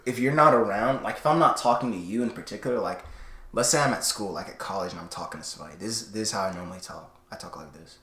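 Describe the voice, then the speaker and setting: A young man co-hosting a podcast, chatting casually and rambling with lots of fillers, often changing how he pitches his voice. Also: fast talker, sharp tone, echoey room. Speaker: podcast co-host. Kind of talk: casual late-night chat.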